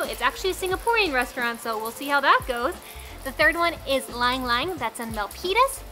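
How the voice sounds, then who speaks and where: A woman co-host explains casually for a video. Her voice is high and very bright, with light music behind it.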